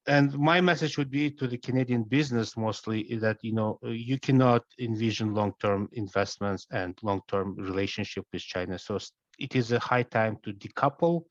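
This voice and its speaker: A man who is an interview guest, speaking deliberately and with hesitations. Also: fairly low pitch, no room sound, lo-fi sound. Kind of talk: remote interview answer.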